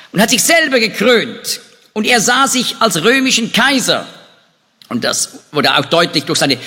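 Animated man lecturing in an echoey hall. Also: on the high side. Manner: lively, animated delivery with hesitations. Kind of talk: lecture on European history.

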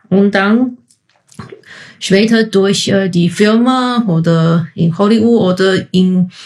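Woman, Taiwanese accent, non-native German speaker, slow and hesitant, interview guest. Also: medium pitch, darkish tone. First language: Chinese. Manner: hesitant, searching for German words. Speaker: podcast guest. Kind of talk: interview answers.

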